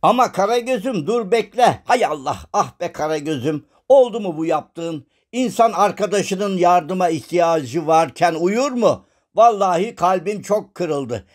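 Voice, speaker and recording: A man playing a courteous, wordy and forgiving gentleman in a shadow-puppet children's cartoon, with theatrical, flowery speech. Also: high voice, lively intonation, unhurried, few pauses. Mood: hurt. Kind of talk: animated children's cartoon acting.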